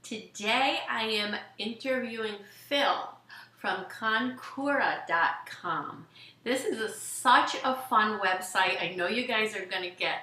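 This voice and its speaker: Woman, fitness show host, bubbly, gushing, bright sharp tone, far from mic. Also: medium pitch, slow, roomy sound. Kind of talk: enthusiastic podcast hosting.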